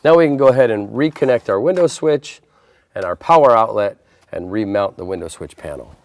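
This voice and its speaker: Low-voiced man giving step-by-step instructions. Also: expressive, a little fast. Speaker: installation tutorial presenter.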